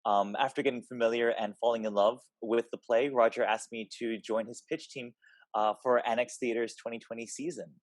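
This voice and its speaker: Halting man with a dry, close sound. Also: medium pitch, fairly even intonation, dry recording. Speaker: theater director. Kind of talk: informal spoken introduction to a show.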